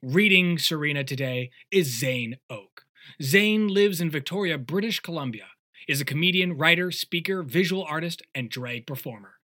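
A man's voice: on the high side, normal speed, sharp tone. A man reading a scripted introduction as a podcast host.

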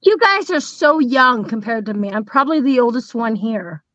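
A woman's voice: on the high side, expressive, moderate pace, fairly bright tone, no room sound. A woman on a casual comedy panel who talks bluntly and swears freely. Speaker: panel guest. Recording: dull lo-fi sound.

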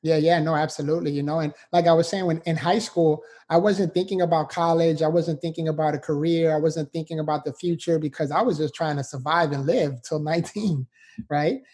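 Upbeat, mellow-voiced New man. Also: fairly high pitch, fairly even intonation, fairly quick. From New York, USA. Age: 40s. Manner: earnest, upbeat, with light laughs and fillers. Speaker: motivational speaker guest. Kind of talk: interview storytelling.

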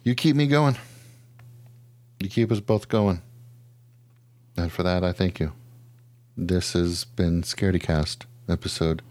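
A man with a lowish voice, recorded close and dry, chats casually as a podcast host. He rambles and swears freely, with self-deprecating humour and a tendency to rant. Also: a little slow, pauses often, a little mellow, no room sound. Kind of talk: casual comedic podcast chat and ranting.

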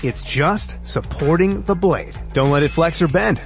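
A man's voice: on the high side, a little slow. A man reading a scripted advertisement in a conversational spokesman's style. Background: faint music.

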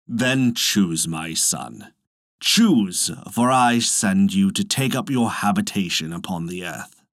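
A storyteller voicing a solemn, fatherly thunder god with grave, slow, old-fashioned storybook phrasing. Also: sits low, animated, no room sound, studio quality, pitched below the performer's own voice, heavily acted. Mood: grave, commanding. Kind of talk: storybook reading.

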